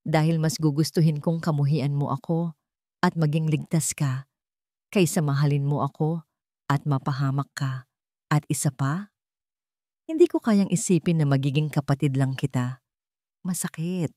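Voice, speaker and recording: A woman's voice: low-pitched, moderate pace, long pauses, dark tone, no echo, studio quality, lightly acted. A woman voicing an anguished, protective young man in a romantic audio drama, solemn and earnest. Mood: remorseful, confessing. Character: anguished, protective young male CEO confessing forbidden love.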